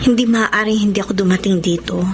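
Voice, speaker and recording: An actress playing a young woman torn in love, sorrowful yet resolute, speaking earnestly and haltingly in a radio drama. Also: medium pitch, steady tone, noisy background, close to an everyday voice. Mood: sad, firm. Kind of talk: Tagalog radio drama acting. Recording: dramatic music behind the voice.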